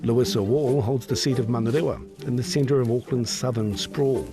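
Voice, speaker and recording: Brief: male documentary narrator, measured and even, mid-pitched, music bed underneath. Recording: narration with clear music behind.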